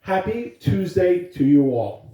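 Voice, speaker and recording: Echoey, animated man addressing schoolchildren. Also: sits high, slow pace, lo-fi sound. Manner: emphatic, animated, addresses children directly. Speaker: school announcer reading aloud. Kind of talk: morning announcements and storytelling for children. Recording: echoey room, distant microphone.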